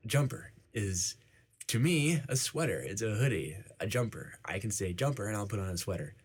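A man's voice: lowish voice, lively intonation, moderate pace, slightly rough. A young man, whose first language was Icelandic, being interviewed in a casual chat, filling his talk with "like". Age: young adult. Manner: fills talk with "like".

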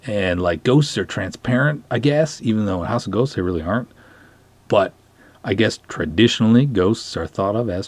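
A man's voice: on the low side, darkish tone, no room sound. A man hosting a casual solo podcast, talking and reading aloud in a wry, self-deprecating way. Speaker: podcast host.

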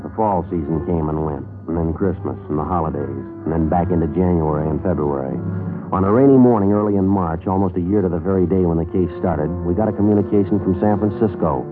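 An American actor playing a laconic, world-weary police detective sergeant in a 1950s radio crime drama, terse and deadpan. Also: male voice, sits low, close to an everyday voice. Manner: deadpan, terse, matter-of-fact. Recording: muffled vintage radio recording, faint music.

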